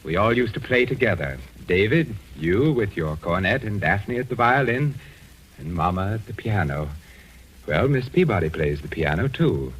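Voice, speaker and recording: A man's voice: on the low side, big pitch swings, normal speed, breathy edge, poor audio. An actor playing an insistent, aggrieved widowed father in a theatrical, formal old-time radio drama style. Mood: strained coaxing.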